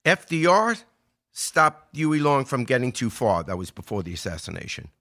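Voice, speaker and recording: Bright-voiced American man explaining politics. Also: mid-range voice, very animated, normal speed. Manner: explanatory, emphatic, rhetorical questions. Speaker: podcast host giving political commentary. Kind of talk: conversational political commentary.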